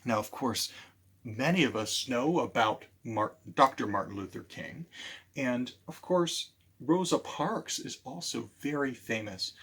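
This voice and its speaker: A man hosting a library storytime for children, animated and speaking directly to his listeners as he presents and tells stories. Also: mid-range voice, husky. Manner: animated, repeats key phrases, addresses listeners directly.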